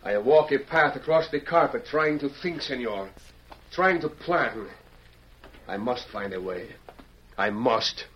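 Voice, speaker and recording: A man's voice: mid-range voice, expressive, a little slow, slightly rough. A man playing a proud, courteous fugitive Mexican revolutionary with a Mexican accent, speaking earnestly and formally in an old-time radio drama. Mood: agitated, impassioned. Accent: Mexican Spanish. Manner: earnest, formal, theatrical phrasing. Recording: muffled lo-fi vintage radio recording.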